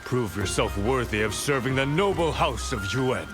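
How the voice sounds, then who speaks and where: A man's voice: mid-range voice, animated, moderate pace, lightly acted. An actor playing a proud noble warlord in a video game, a man speaking with lordly command. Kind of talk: dramatic game dialogue. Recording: music and rain behind.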